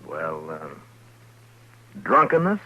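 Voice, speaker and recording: An actor playing a tough, wry frontier marshal, speaking in a dry, laconic way in 1950s radio western style. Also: male voice, rumbling low, huge pitch swings, slow, bright, forceful tone, exaggerated voice. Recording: dull, hissy 1950s radio recording.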